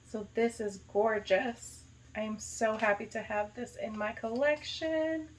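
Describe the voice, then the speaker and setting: A young woman from the United States excitedly unboxing a gift box in a casual vlog, gushing and dropping lots of "like". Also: on the high side, unhurried, slight background noise, low-quality recording. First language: not Arabic. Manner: gushing, enthusiastic, lots of "like" and "so cute". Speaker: vlogger, high school teacher.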